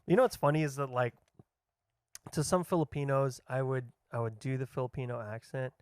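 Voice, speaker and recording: A man's voice: medium pitch, fairly even intonation, a little fast, darkish tone, clean tone. A man in a casual podcast chat, rambling along with lots of fillers and restarts. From United States.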